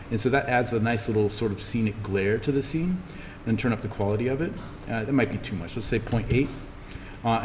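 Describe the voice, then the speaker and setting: A man giving a casual, easygoing software tutorial as an instructor, thinking aloud as he explains each step. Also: on the low side. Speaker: instructor teaching a 3D animation class. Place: indoors, echoing classroom. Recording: reverberant room with background noise.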